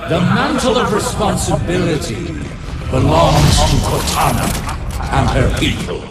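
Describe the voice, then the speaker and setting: An actor playing an ancient, fanatically loyal machine warden in a video game, speaking in a grand, formal, declamatory manner. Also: male voice, a little high, expressive, measured pace, very noisy. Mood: commanding, angry. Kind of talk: dramatic video game cutscene acting.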